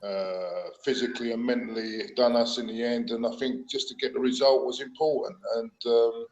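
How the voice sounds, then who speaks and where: A man's voice: medium pitch, steady tone, normal speed, darkish tone, reverberant, muffled recording. A man chatting casually on a fan podcast, hesitant with frequent uhs. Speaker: podcast panelist. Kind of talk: casual chat.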